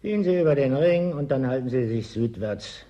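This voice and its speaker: A man's voice: medium pitch, animated, moderate pace, mellow, highs cut off, close to an everyday voice. A male actor playing an evasive official in a radio drama, formal, officious and dryly factual. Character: evasive, bureaucratic male official in a frozen settlement. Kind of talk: German science-fiction radio drama acting.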